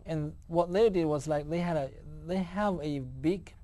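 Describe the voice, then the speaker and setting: A man's voice: on the high side, a little slow, warm tone. A man in his forties from China with a Chinese accent, a guest telling his life story with many "you know" fillers. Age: 40s. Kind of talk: interview answers.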